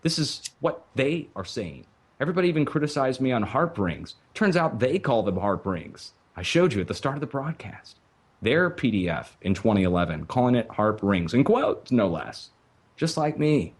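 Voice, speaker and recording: A man's voice: medium pitch, sing-song, moderate pace, slightly rough. A man hosting a call-in show, talking earnestly and emphatically, asking rhetorical questions. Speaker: radio show host.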